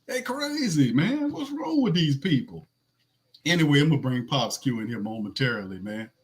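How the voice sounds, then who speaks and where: A man with a raspy voice hosting a sports podcast, talking loosely with slang and running through game stats. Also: medium pitch, sing-song, normal speed. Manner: loose, slangy, restarts a lot, reads stats. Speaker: podcast host. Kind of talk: casual sports podcast talk.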